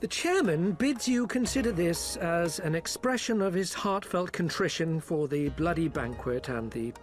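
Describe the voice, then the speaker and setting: Male actor as a foppish trade envoy: theatrical, high-ish, animated delivery, music underneath. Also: normal speed, natural voice. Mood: explaining. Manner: theatrical, flowery and ingratiating. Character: smooth-talking foppish male merchant agent. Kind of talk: video game cutscene. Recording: with background music.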